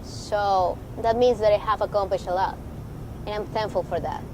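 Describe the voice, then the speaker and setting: A woman's voice: medium pitch, steady tone, normal speed. Young woman from Venezuela; earnest, encouraging student testimonial, traffic noise around her. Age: young adult. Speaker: university student. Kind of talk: personal testimonial. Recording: outdoor street noise.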